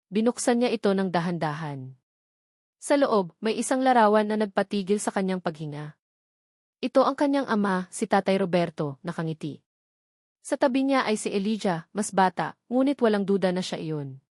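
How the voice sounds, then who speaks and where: Woman narrating a dramatic audio story, middle pitch, really dry close recording. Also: lively intonation, moderate pace, a little mellow, no room sound.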